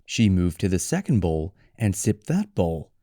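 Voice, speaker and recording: A man reading a children's story as a storyteller, with deliberate, expressive delivery. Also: sits low, no echo, studio quality. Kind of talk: scripted children's story narration for English learners.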